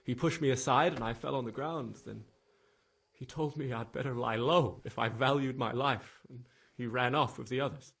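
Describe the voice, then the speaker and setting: A frightened young stable lad from a video game drama. His voice is husky and mid-pitched. He stammers and halts nervously, sounding guilt-ridden as he gives his account. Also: normal speed, a bit bright. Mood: shaken, haunted. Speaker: video game character, witness being questioned. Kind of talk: dramatic game cutscene acting.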